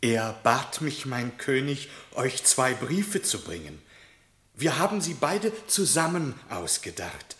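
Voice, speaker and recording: An actor playing a sly, fawning ram messenger in a German radio play. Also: male voice, mid-range voice, very animated, takes it slowly, fairly bright tone. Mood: eager. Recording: echoey studio room.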